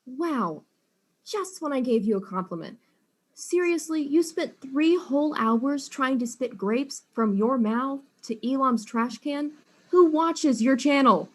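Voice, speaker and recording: Actress as a sarcastic, fairly high-voiced woman detective. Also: lively intonation, a little slow. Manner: dry, impatient, sarcastic. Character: blunt, sarcastic, exasperated female detective. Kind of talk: comic audio drama acting.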